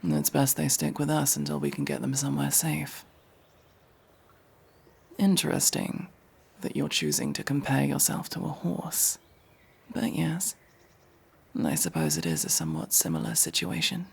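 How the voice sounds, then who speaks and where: A man playing a guarded, dry elf mercenary in an ASMR fantasy roleplay, gruffly protective and matter-of-fact, with a gravelly, sometimes breathy voice. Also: a little high, big pitch swings, moderate pace, long pauses, heavily acted. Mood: dry, casual. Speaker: voice actor in audio roleplay.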